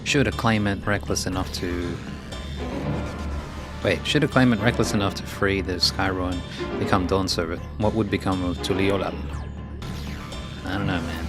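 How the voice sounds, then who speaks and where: A man's voice: low-pitched, steady tone, normal speed, pauses often, music in the background. A man streaming a video game, reading dialogue aloud and adding casual, laid-back asides.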